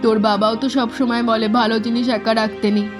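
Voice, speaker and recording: A woman's voice: a little high, flat delivery, fast, over music, lightly acted. A woman voicing a caring village mother. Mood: motherly. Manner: gentle, motherly, hospitable. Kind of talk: animated cartoon dialogue.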